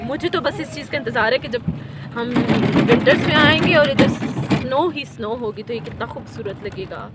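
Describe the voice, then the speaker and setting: A woman's voice: on the high side, normal speed, heavy background noise. A young woman with a Pakistani accent chatting casually for a vlog, recorded on a phone outdoors. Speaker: vlogger. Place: outdoors on a moving mountain chairlift. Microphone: handheld phone camera.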